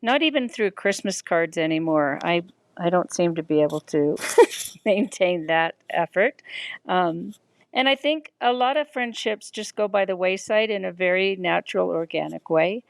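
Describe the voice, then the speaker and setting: A woman's voice: on the low side, vocal fry, dry recording. A middle-aged woman sharing thoughtful, hesitant reflections on friendship, with frequent ums. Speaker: listener commenting. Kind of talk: reflective personal commentary.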